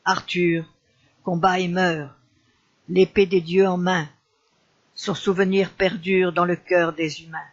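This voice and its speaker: A man's voice: high-pitched, little pitch movement, slow, long pauses, muffled recording. A man reciting a poem as a grieving, solemn enchanter haunted by war, in a declamatory and mournful manner. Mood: solemn, prophetic.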